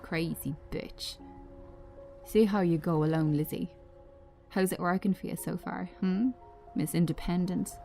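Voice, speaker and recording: Menacing adult ex-boyfriend played by a woman, contemptuous and taunting, unhurried delivery, screenplay reading. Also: on the low side, fairly even intonation, creaky, mellow, faint music behind. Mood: sneering contempt. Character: menacing, sneering, jealous abusive ex-boyfriend, adult man.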